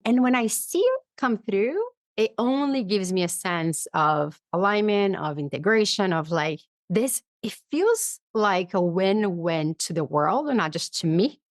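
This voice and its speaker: A woman guest in a conversational interview, explaining ideas through personal examples and acting out her inner dialogue in an animated way. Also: mid-range voice, a little slow, dry recording, studio quality. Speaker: podcast guest, psychologist and author.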